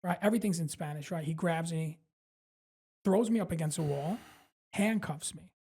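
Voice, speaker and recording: Comedian guest, man, New York accent, slightly husky, loose and profane storyteller. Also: on the high side, moderate pace. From Brooklyn, New York. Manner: animated, profane, rambling, full of fillers and self-mockery. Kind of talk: casual storytelling in a podcast interview.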